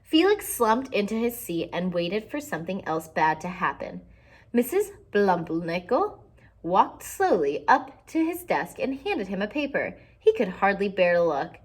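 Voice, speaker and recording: A woman's voice: mid-range voice, a little slow. Woman reading a picture book to children. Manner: expressive, explains simply to young listeners. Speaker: teacher reading aloud to children. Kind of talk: children's picture book read-aloud with explanatory asides.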